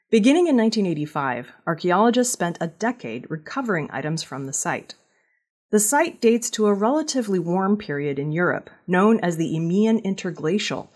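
A woman reading scripted news narration as a newsreader, with a slightly husky voice. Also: on the low side, darkish tone. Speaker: news reader.

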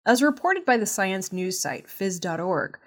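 A woman presents the news from a script. Her voice sits at an average pitch for a woman. She reads in a measured way, with each phrase clearly enunciated. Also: very animated. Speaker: news reader.

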